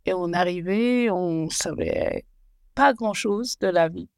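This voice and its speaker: A woman in her forties, a guest telling her life story slowly and hesitantly, often restarting as she searches for words. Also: fairly low pitch, vocal fry, a little mellow, clear, resonant tone, no room sound, clean studio sound. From Belgium and Rwanda. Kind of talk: personal life story interview.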